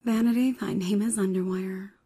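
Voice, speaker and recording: A woman acting a wry, even-toned female operative. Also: mid-range voice, moderate pace, a little hiss or hum, close to an everyday voice. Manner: muttering inner monologue, sardonic. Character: young female ex-police operative, former thief, wry and determined. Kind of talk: dramatic audiobook character acting. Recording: music under the voice. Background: music.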